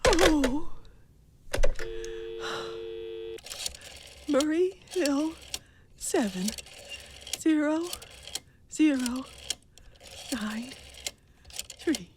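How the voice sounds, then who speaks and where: A woman's voice: sits high, drawn-out words, pauses often, noisy background. A woman playing an anxious, imperious, self-pitying invalid wife in a radio thriller, with dramatic gasps and a demanding manner. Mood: anxious urgency.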